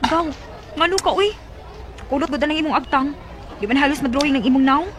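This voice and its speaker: A woman's voice: sits high, a little fast, fairly bright tone, a faint crowd, slight background noise. An actress playing a gossipy, teasing young female friend in a Cebuano Bisaya radio drama. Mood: curious, teasing. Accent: Cebuano Bisaya, Philippines.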